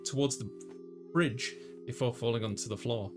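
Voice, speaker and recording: A man plays a visual novel and reads it out loud as a story. His voice sits at an ordinary male pitch. He acts out narration and dialogue, with music clearly playing behind him. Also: moderate pace. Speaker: let's player voicing a visual novel. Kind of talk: reading game narration and dialogue aloud, dramatized. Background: clear background music.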